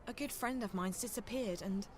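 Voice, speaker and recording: A woman's voice: mid-range voice, moderate pace, slight background noise, close to an everyday voice. An actress playing an earnest, insistent young woman out of her element in a game. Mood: pleading. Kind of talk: game voice acting.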